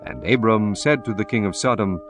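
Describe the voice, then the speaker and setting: A man narrates scripture in a low, steady voice with slow, measured solemnity. Clear music plays under his reading.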